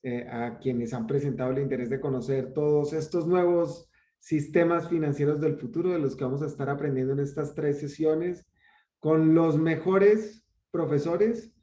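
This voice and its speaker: Colombian man, warm voice, echoey room. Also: fairly high pitch, moderate pace. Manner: hesitant restarts, earnest. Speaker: bootcamp organizer. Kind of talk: online welcome speech.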